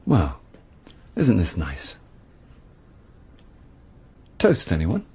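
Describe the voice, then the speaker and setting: A man's voice: low voice, huge pitch swings, drawn-out words, warm tone, slightly rough, faint music behind, full character voice. A man playing a wry, philandering middle-aged actor and amateur sleuth in a radio comedy drama, dry and flippant. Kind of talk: radio comedy-drama acting with first-person narration.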